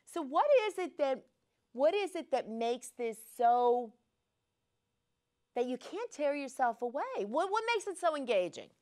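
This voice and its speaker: A woman with a somewhat high voice hosts a casual interview. She tells stories with animated enthusiasm and asks her guest questions directly. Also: unhurried. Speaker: interview host.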